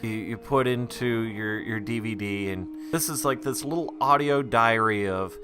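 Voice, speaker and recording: A man's voice: fairly low pitch, moderate pace. A man speaking in a casual, self-deprecating ramble, like an audio diary for his channel. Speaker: YouTube creator recording an audio diary. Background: music.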